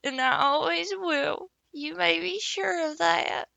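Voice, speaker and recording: A woman voicing a tearful, devoted young girl who pleads and sobs in a high voice. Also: takes it slowly, vocal fry, pushed and bright, no echo, exaggerated voice.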